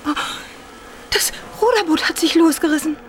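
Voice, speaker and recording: A woman playing a sensitive teenage daughter in a radio drama, hushed and often breathy. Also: very high-pitched, normal speed, a little hiss or hum. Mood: wondering. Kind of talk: German radio drama acting.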